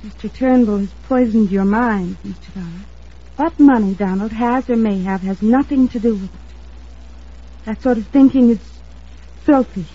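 Anxious young woman, radio drama; husky, mellow, earnest, on a muffled old recording. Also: on the high side, normal speed. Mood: indignant. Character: earnest, anxious young woman, defensive about her sweetheart.

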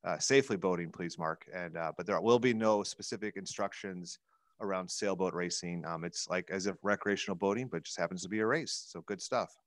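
Low-pitched American man on a close headset. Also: a little fast, no echo. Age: middle-aged. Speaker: legislative advocate and show panelist. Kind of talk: casual informational chat. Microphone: headset on a video call.

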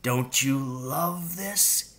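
A man voicing a daring, commanding father out poaching in a storybook, with breathy, whispery delivery. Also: medium pitch, very slow, a bit bright. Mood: hushed, urgent.

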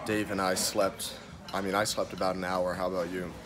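A young man talking to a handheld camera in a hotel room, excitable and swearing freely in a casual vlog. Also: deep voice, a little fast, a crowd in the background. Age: young adult. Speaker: vlogger.

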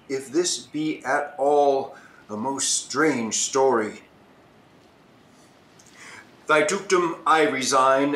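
A man's voice: medium pitch, fairly bright tone. A man playing a grieving, repentant king and father in a Shakespearean radio play, speaking with earnest formality. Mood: awed, repentant. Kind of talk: Shakespearean audio drama acting. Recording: roomy, echoing home recording.

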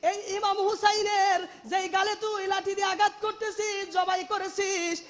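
Preacher guy as an angry old man scolding traitors, really high strained pitch, echoey hall sermon. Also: moderate pace. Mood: furious. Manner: shouting, accusing. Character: outraged old man rebuking cruel traitors. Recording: long hall reverb on the voice.

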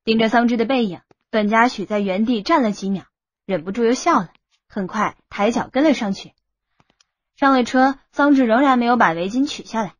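High, husky female audiobook narrator. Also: a little fast, no echo, dull audio. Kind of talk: scripted story narration.

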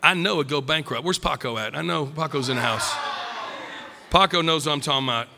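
A middle-aged man preaching at a pulpit, emphatic and joking, a little croaky from a cold. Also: fairly high pitch, brisk, long reverb tail, background noise. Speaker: pastor. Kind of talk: sermon. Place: church stage at a wooden pulpit. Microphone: podium mic.

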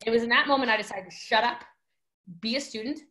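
A woman's voice: a little high, fast, bright, forceful tone. A young woman telling a personal story in an emphatic, dramatic, slangy way, as a podcast guest on a video call from home. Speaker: podcast guest, network marketing entrepreneur.

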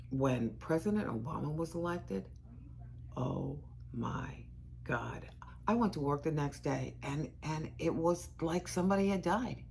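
An actress plays an older American woman who works as a law school administrator, candid and caring. Her voice is low and creaky, with lively swings in pitch. She talks emphatically and conversationally, restarting phrases as she goes, as in a dramatized first-person monologue. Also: a little hiss or hum, heavily acted. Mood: troubled, reflective. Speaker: performer in a dramatized narrative. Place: small indoor room with bookshelves.

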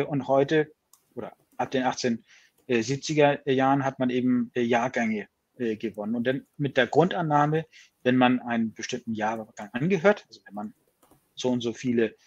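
A man speaking a language that is not his first, slowly building his thoughts with frequent restarts. His voice has a slightly husky edge. He sounds like he is on a webcam call from a room at home. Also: medium pitch, lo-fi sound. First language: not German. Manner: hesitant, restarts words, reflective. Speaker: guest. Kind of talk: conversational video call. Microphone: video call webcam.

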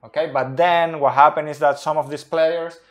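A man in his thirties who is not a native English speaker, explaining football tactics unscripted at a whiteboard, slow and deliberate with many pauses. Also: on the high side, steady tone. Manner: slow and deliberate, pausing often. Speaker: football analyst. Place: small room by a whiteboard.

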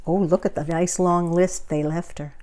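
A woman in her sixties reading a picture book aloud to children in a deliberate manner, with asides to the listener. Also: on the low side, steady tone, mellow. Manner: deliberate, asks the listener questions. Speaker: children's storyteller. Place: living room, indoors.

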